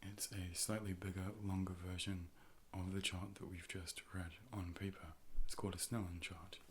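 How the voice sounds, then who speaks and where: A low-voiced man performs a soft ASMR role play as a calm, polite optician. His delivery is measured and hushed, often slipping into a whisper. He is close to the microphone, with faint noise behind the voice. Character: calm, polite robot A.I. optician conducting an eye exam. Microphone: close ear-to-ear stereo microphone.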